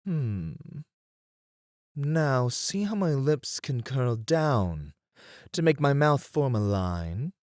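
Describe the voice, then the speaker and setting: A performer playing a poised, playful etiquette tutor in a scripted ASMR roleplay, speaking with refined, deliberate phrasing. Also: medium pitch, sing-song, a little mellow, no echo, studio quality. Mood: intent, coaxing.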